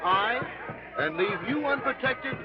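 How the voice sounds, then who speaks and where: An actor playing a polite, timid young gentleman who talks slowly and stammers when nervous. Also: very high voice, expressive, over loud music, over a noisy crowd. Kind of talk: 1930s film acting. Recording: dull old film soundtrack.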